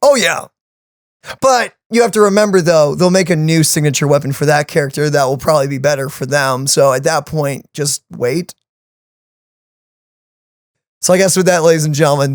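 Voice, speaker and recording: A man co-hosting a game reaction video, casually explaining stats with lots of fillers in an animated way. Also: on the high side, dry recording, studio quality. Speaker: co-host of a game reaction channel.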